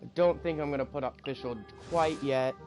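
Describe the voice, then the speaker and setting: A man's voice: medium pitch, steady tone, moderate pace. A man doing casual gameplay commentary as a streamer, thinking aloud and muttering through lists that trail off. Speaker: game streamer. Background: game music.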